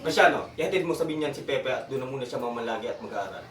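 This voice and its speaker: A man's voice: medium pitch, expressive, fast, recorded from a distance, natural voice. A man playing an anxious, commanding father in an amateur historical drama, calling out orders. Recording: noisy echoey room, off the mic.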